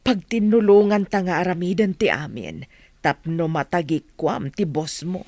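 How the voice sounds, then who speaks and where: A woman's voice: on the low side, moderate pace, darkish tone, no echo, clean studio sound. An actress playing a middle-aged, scheming mother in a radio drama, speaking in an insistent, coaxing way. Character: coaxing, scheming, defensive mother of grown daughter.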